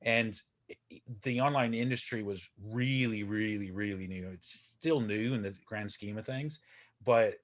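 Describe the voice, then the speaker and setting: A man's voice: fairly low pitch, measured pace. A man, a podcast guest and coach talking casually, chatty and self-deprecating with many fillers and restarts. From Texas, USA. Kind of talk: casual interview talk.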